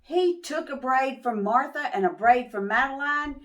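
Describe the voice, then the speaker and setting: A woman reading a picture book aloud to young children as host, expressive and pausing to ask questions. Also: high-pitched.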